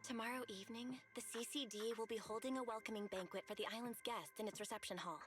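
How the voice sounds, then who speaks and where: A woman's voice: on the high side, fairly even intonation, fast, lightly acted. A woman playing an earnest, eager young schemer in a sci-fi video game, with natural delivery. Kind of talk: scripted video game voice acting. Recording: game music behind the voice. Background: background music.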